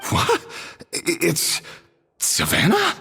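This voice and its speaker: A woman playing an eager, easily amazed contest judge in a video game, with an expressive delivery. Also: lowish voice, takes it slowly, vocal fry, full character voice. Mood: stunned. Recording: long reverb, music behind.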